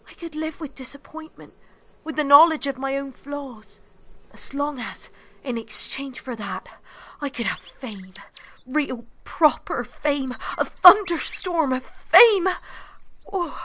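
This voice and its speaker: An actress playing an earnest, passionate young dreamer in an audio drama. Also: female voice, sits high, bright, forceful tone, exaggerated voice. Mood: passionate longing. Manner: breathless, earnest, intense. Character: starry-eyed, passionate young woman dreaming of fame. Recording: outdoor hiss with chirping insects.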